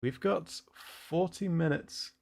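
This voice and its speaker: A man hosting a casual livestream chat, speaking haltingly with repeated words and frequent chuckles. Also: on the high side, gravelly, darkish tone. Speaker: livestream host.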